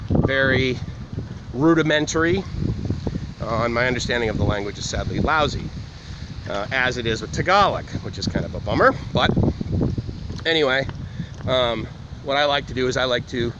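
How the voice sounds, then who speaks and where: A man's voice: fairly high pitch, muffled recording. A man talking casually to camera while walking outdoors, in a rambling, digressive way, often correcting himself. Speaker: vlogger. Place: outdoors, walking through a university campus. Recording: noisy outdoor recording with wind.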